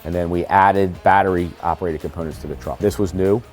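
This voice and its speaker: A man's voice: deep voice, fairly even intonation, fairly quick, faint music behind. An American man, a fire department deputy chief, explaining equipment in a plainspoken, methodical, technical way during a walk-around interview.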